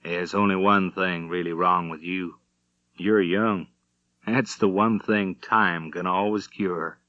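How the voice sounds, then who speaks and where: A stern, calm gunfighter from a western audiobook, acted by a male narrator, speaks softly in a lowish voice. He is terse and deliberate, on a dry, slightly dull recording. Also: dry recording. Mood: stern, tender. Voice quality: soft-spoken. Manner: deliberate, restrained.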